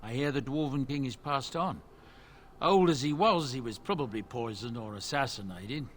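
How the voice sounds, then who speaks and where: A man's voice: mid-range voice, normal speed, a little hiss or hum, lightly acted. An actor playing a genial, ingratiating middle-aged dwarf merchant in a fantasy game, with polite, chatty salesman's patter. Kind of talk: fantasy game character acting.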